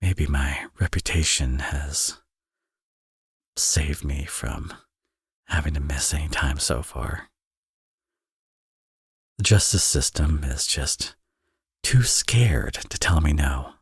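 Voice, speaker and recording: A man playing a teasing villain who is a tender father, in an intimate ASMR audio roleplay, with a husky, breathy, soft-spoken delivery. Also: extremely low pitch, slow, long pauses, no echo, clean studio sound, heavily acted. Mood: tender, wistful.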